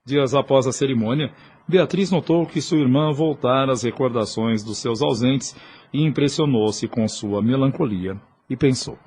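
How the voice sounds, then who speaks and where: A man's voice: mid-range voice, moderate pace, darkish tone, slightly rough. A man with a Brazilian accent reading scripted, dramatic narration for an audio novel.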